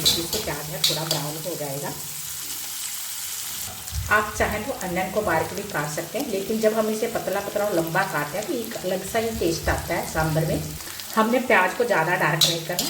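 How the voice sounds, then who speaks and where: Low-voiced woman teaching home cooking, echoey. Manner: instructional, explanatory, repeats steps. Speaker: home cook presenting a recipe. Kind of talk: step-by-step cooking tutorial. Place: indoor home kitchen. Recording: roomy kitchen echo, loud cooking noise. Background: sizzling, stirring and clicks of cooking.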